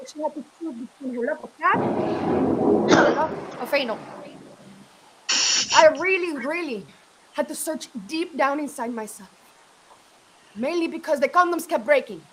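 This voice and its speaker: A woman's voice: high-pitched, expressive, measured pace, pauses often. A woman doing comedy character acting in a sketch clip, with a very noisy played-back recording. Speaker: comic actor in a sketch clip.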